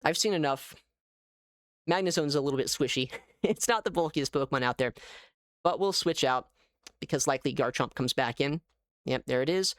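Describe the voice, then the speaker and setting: A man giving casual live gameplay commentary as a streamer, thinking aloud in an animated way. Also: on the high side, normal speed, pauses often, dry recording, clean studio sound. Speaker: gaming streamer.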